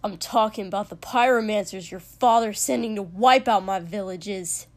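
A woman voicing a furious, volatile young man in an audiobook story, with a forceful, explosive delivery. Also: mid-range voice, moderate pace, lightly acted. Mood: enraged, accusing.

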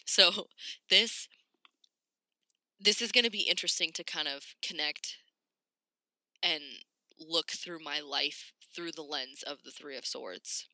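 A woman hosting a podcast in casual, candid, slangy talk, slightly raspy and congested from a cold. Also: deep voice, slow, pauses often, bright, forceful tone, dry recording. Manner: candid, informal, sweary, slangy. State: congested, getting over a cold. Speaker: podcast host.

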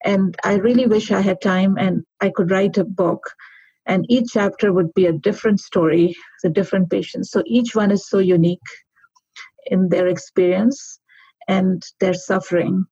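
A middle-aged woman from Pakistan, a physician answering interview questions in an earnest, reflective way with pauses and restarts. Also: mid-range voice, fairly even intonation, warm tone, breathy edge, dry recording. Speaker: guest physician. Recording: dull lo-fi sound.

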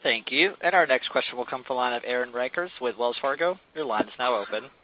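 A man speaks as a conference operator in a mid-pitched voice. His scripted delivery is fast and routine. The audio is dull and lo-fi. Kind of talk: scripted call announcement.

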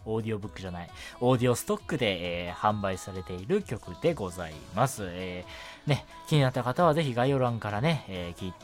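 A man's voice: lowish voice, lively intonation, quick pace. A young man hosting a casual solo radio show, talking politely and chattily with frequent short affirmations. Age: young adult. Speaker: radio show host, amateur voice actor. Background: background music.

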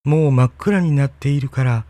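A man's voice: medium pitch, moderate pace, mellow, no room sound, pitched above the performer's own voice, lightly acted. A man voicing a pure-hearted young girl in a fairy tale. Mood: gentle.

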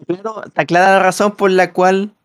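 A man's voice: sits high, little pitch movement, normal speed, clear, resonant tone. A man talking casually as a football commentator during a live match. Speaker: football commentator on a group broadcast.

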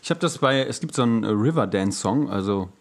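A man's voice: on the low side, lively intonation, quick pace, vocal fry. A man co-hosting a podcast, chatting casually in a loose, slangy way with trailing asides. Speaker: podcast co-host. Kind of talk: casual chat.

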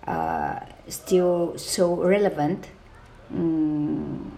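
A woman in her thirties with a Bulgarian accent speaks slowly and unhurriedly, her voice carrying a gravelly fry. She hosts a podcast for learners of Chinese, talking earnestly and hesitantly, full of ums and restarts. A faint noise sits under her voice. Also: low voice, very animated, mellow, low-quality recording. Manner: hesitant, pauses often with fillers and restarts; earnest and enthusiastic. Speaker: Chinese language podcast host. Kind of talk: self-introduction to listeners.

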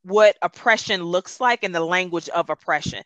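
An emphatic, passionate speaker whose first language is Gullah, talking in a bright, forceful voice. The sound is dry and close, with no room in it. They press their points firmly, then slow down to think them through. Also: mid-range voice, no room sound, dull audio. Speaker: guest, consultant. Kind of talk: conversational interview.

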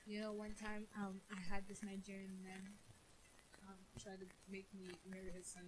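A woman's voice: medium pitch, flat delivery, moderate pace, a crowd in the background, rough recording. A seventeen-year-old girl chatting on a podcast, acting out what her relatives said in exaggerated voices. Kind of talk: casual chat.

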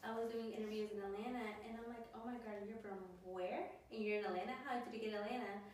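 A woman's voice: medium pitch, steady tone, fast talker, darkish tone, far from the mic, a little hiss or hum. A woman from Mexico who works in medicine chats casually and laughs easily. The voice is picked up from some way off in a very echoey room. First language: Spanish. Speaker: medical worker. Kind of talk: casual chat.

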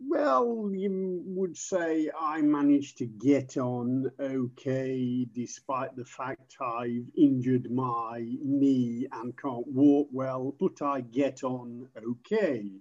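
Slow British-accented man, muffled sound. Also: medium pitch, fairly even intonation, a little mellow. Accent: British English. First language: English. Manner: measured, slightly formal. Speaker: podcast guest, native speaker. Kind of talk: giving example sentences in a lesson.

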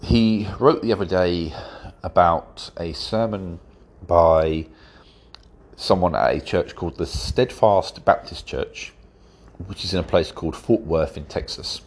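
Male podcast host, rumbling low and a little rough, blocked nose, unhurried and wry. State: congested, stuffy-nosed from hay fever. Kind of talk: solo podcast commentary.

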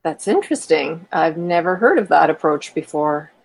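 A woman's voice: sits low, very animated, moderate pace, vocal fry, husky, dry recording, heavily acted, low-quality recording. A woman playing a mischievous, eccentric woman chatting with a friend in an audio drama. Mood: curious. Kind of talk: amateur audio drama dialogue.

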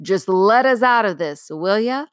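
A woman's voice: mid-range voice, expressive, unhurried, no echo, studio quality, lightly acted. A woman reader gives us a great storybook lion who takes charge. His speech is hearty and genial, lifted and bold as he hands out orders. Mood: hearty, commanding. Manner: hearty, commanding calls.

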